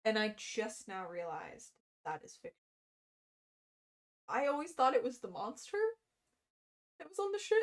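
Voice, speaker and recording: A woman with a fairly high voice and an unhurried pace, livestreaming. She is expressive and self-deprecating, drifting between reading aloud and rambling informally. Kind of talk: casual livestream chat.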